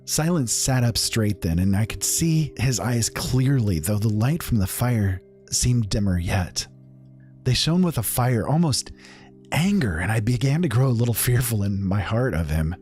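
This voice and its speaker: An actor playing a sombre, sympathetic man who gravely narrates a fantasy tale in a measured, reflective way. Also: mid-range voice, expressive, darkish tone, soft background music, close to an everyday voice. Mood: uneasy, watchful. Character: sombre, sympathetic male listener retelling a companion's confession. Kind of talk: reflective first-person fantasy storytelling.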